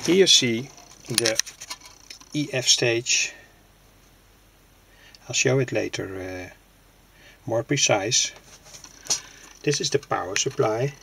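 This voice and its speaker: Creaky man explaining electronics. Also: medium pitch, pushed and bright, slight background noise. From Netherlands. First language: Dutch. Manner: halting, plainly explanatory. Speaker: hobbyist radio builder, presenter. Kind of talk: unscripted technical demonstration. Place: indoors at a home workbench. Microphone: handheld camera's built-in microphone.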